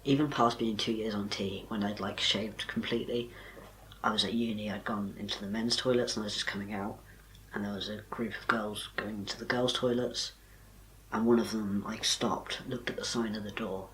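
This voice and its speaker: A young man, talking casually to camera in a soft, quiet, breathy voice with little power behind it. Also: fairly low pitch, steady tone, moderate pace, a little hiss or hum, poor audio. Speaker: vlogger.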